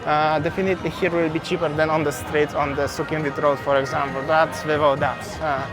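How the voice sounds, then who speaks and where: A man's voice: fairly high pitch, flat delivery, brisk, music in the background. A man from Poland with a Polish accent, walking and talking through a busy outdoor street market for his travel vlog, chatty and repeating his words. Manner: chatty, repeats words, simple non-native phrasing. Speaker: travel vlogger.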